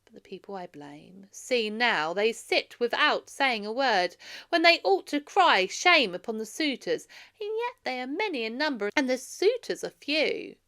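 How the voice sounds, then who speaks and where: A loyal male steward read out by a woman rebukes his people in a storybook. He is stern and indignant, speaking with a forceful, bright edge and animated intonation. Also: a little high, unhurried, voice pushed up, full character voice. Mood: indignant, reproachful. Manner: stern, reproachful oratory.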